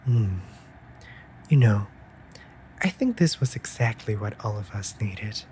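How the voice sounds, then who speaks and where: Raven familiar, acted by a performer: playful, mock-offended tease, animated intonation, affectionate, comfort roleplay, slight background noise. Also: medium pitch, slow pace. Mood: drowsy, teasing. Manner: teasing, mock-offended, breaking into soft murmurs. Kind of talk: intimate comfort audio roleplay.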